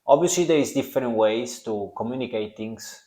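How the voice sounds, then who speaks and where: A man's voice: mid-range voice, a little fast, dark tone. Italian-accented man in an echoey room. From Italy. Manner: earnest, reflective, speaks to the listener directly. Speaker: podcast host. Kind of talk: solo motivational podcast talk.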